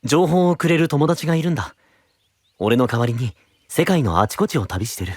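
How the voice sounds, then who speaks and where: An actor playing a calm, gentle, secretive young knight, speaking in a soft, measured, reflective way in an anime-style audio drama. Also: male voice, a little high, no room sound. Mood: guarded, gentle.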